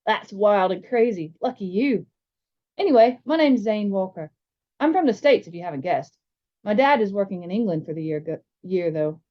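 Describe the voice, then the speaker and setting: A woman voicing a chatty, excitable young American boy in a storybook, with a breezy, rambling manner. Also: medium pitch, normal speed, natural voice. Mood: breezy, excited. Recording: slightly dull, lo-fi sound.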